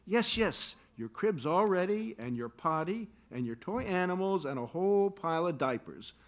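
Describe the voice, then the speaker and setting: A man's voice: high-pitched, animated, normal speed. A man reading the part of a fretful, doting wife and mother in a staged play reading, pleading and emotional.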